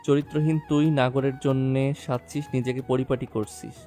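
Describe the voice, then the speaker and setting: A cruel, jealous husband acted by a man speaks in a dark, low-lit tone. He taunts, threatens and commands as in a romantic audio drama. Music runs faintly behind him. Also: little pitch movement, moderate pace, close to an everyday voice. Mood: bitter, jealous. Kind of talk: romantic audio story acting.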